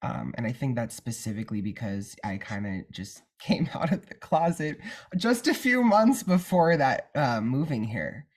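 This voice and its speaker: A nineteen-year-old man from Minnesota, a singer guest, talking in a bubbly, animated way over a remote link. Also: medium pitch, normal speed, hardly any pauses. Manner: animated, bubbly, breathy with laughs. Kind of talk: casual interview. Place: remote call, not in studio.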